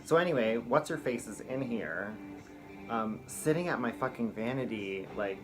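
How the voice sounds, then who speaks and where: A man's voice: medium pitch, expressive, moderate pace, over music, rough recording. A middle-aged man, a drag performer, telling a rambling, profane comic story in a campy, chatty way from a small room at home. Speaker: drag performer and show host.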